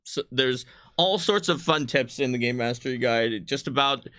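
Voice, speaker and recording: A man's voice: mid-range voice, normal speed, pushed and bright, clean tone, no room sound, studio quality. A man speaking as a game designer in an unscripted panel chat, explaining things and often jumping in. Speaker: game designer on a company stream.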